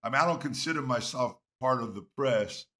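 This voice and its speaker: A man's voice: mid-range voice, fairly quick, fairly bright tone. A middle-aged man with a mid-range voice hosts a casual interview. He talks in a loose, chatty way and laughs easily. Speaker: interview host, former player.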